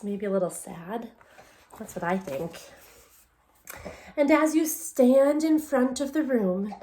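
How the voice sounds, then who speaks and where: Female elementary teacher, higher-pitched, very unhurried delivery; expressive storybook read-aloud with chatty asides to kids. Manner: deliberate, expressive reading with conversational asides to young listeners. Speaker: elementary English language development teacher. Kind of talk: read-aloud picture book narration with questions to children.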